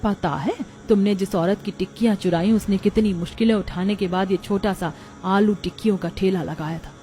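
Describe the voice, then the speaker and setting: Mellow but assertive cartoon neighbour woman acted out. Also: mid-range voice, steady tone, normal speed, faint music behind, close to an everyday voice. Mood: accusing, righteous. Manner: assertive, righteous. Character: shrewd, supportive woman neighbour who stands up for friends.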